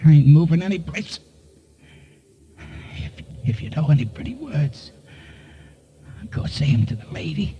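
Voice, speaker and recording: An actor playing a bitter dying crook in a 1950s radio crime drama, sneering slangy tough talk through strain. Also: male voice, on the high side, sing-song, measured pace, long pauses, warm tone, lo-fi sound. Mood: bitter, pained. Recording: noisy vintage radio recording.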